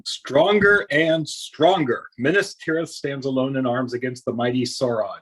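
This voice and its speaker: A proud warrior of a besieged city, read by a man at a table read. He declaims with passion in a raspy, mid-pitched voice. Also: moderate pace. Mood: impassioned. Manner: loud, declamatory.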